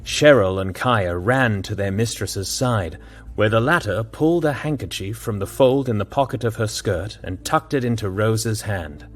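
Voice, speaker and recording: Male storyteller for scripted audio drama, deeper register, raspy edge, dramatic narration. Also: expressive, moderate pace, faint music behind.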